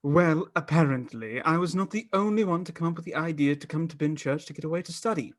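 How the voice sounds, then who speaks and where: A studious young gentleman played by a man in a radio play. He speaks formally and courteously, with an earnest, politely stubborn air. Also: on the high side, quick pace, natural voice. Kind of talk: stage radio play acting.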